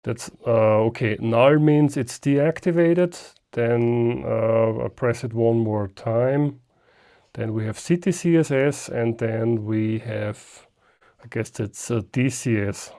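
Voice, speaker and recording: A man's voice: medium pitch, slow pace, dark tone. A man, a German speaker, giving a casual unscripted hands-on gadget demonstration and thinking aloud step by step. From Austria. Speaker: ham radio reviewer.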